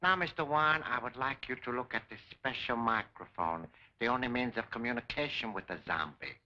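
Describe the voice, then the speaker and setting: An actor playing a suave, menacing Japanese mastermind in a 1940s film serial, speaking formally with cold, pompous courtesy. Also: male voice, mid-range voice, fairly quick, bright, forceful tone. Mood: coldly commanding. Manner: formal, grandiose, coolly courteous. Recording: dull 1940s film serial soundtrack, music.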